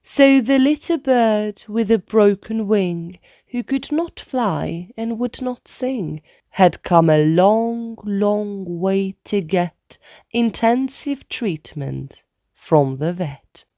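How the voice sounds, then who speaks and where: A woman's voice: medium pitch, expressive, takes it slowly, mellow, dry recording, clean studio sound. A woman storyteller reading a rhyming children's book aloud as scripted narration.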